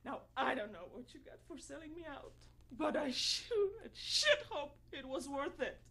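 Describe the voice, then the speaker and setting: A woman's voice: high-pitched, very animated, normal speed, vocal fry, sharp tone, exaggerated voice. A woman acting a furious, wounded young woman in a bitter, confrontational stage monologue. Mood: angry defiant. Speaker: contestant performing a dramatic monologue.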